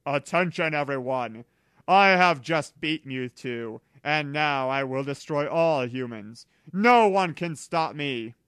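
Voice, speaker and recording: A man's voice: a little high, expressive, takes it slowly, a bit bright, clear, resonant tone, no room sound. A man voicing a boastful, menacing clone monster villain in a read-aloud fan story. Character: Mew Three, boastful villainous clone creature bent on revenge.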